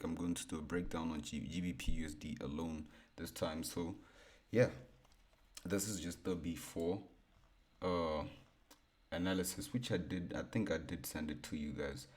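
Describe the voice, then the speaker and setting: Deep-voiced laid-back man. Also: a little mellow. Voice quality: baritone. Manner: laid-back, repeats himself, thinks aloud. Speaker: forex trading educator. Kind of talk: casual screen-share chart breakdown.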